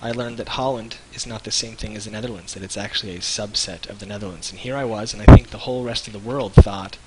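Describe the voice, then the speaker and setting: A man's voice: lowish voice, steady tone, fast talker, heavy background noise. A man co-hosting a live stream in casual, jokey chat, rambling and searching for words. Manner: rambling, loose, jokey, hesitant.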